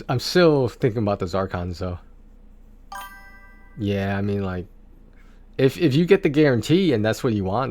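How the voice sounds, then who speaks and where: A man chatting casually on a game livestream, talking in a rambling, halting way and often repeating his words. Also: on the low side.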